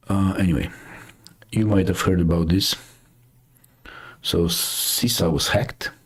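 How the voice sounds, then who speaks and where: A man's voice: sits low, husky. A man from Romania with a Romanian accent, hosting a live show and chatting casually through the news, thinking aloud with many fillers and restarts. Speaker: host.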